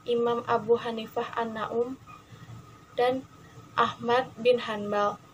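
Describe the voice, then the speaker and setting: Young woman student reading a class text aloud, level and even, slightly high voice. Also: a little hiss or hum, rough recording.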